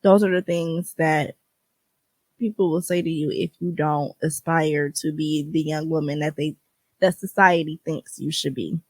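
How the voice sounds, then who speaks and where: A woman's voice: sits low, steady tone, a little slow, darkish tone, no echo. A woman in her twenties chatting casually and frankly as a solo podcast host, slangy and free with swearing. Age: 20s (twenty-three).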